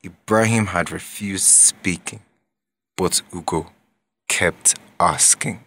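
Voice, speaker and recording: A man's voice: low-pitched, slow, sharp tone, heavily acted. A man giving scripted narration for a drama.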